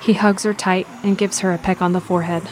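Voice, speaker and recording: A woman's voice: fairly low pitch, flat delivery, quick pace, noisy background. A woman reading scripted present-tense narration for an audio drama.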